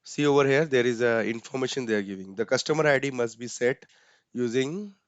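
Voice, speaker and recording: This man with an Indian accent explains settings in a loose, conversational way, dotting his talk with "uh" and "right?". His voice sits at a middling pitch. The sound is dry and a bit muffled, like a video call. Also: fairly quick, no echo. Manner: casual, many fillers, frequent tag "right?". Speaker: instructor. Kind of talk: explaining software settings step by step.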